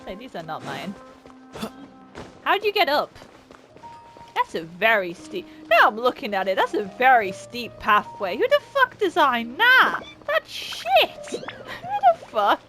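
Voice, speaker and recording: A woman's voice: a little high, takes it slowly, soft background music. A woman doing casual, sweary, sarcastic commentary while playing a video game. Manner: sarcastic, animated, exclaiming. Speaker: game streamer.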